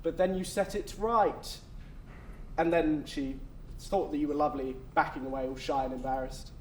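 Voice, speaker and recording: An actor playing a gentle, reassuring male official who speaks in a measured way in a stage play. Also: a little high, steady tone, natural voice. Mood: reflective. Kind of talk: stage acting. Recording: roomy distant stage sound with noise.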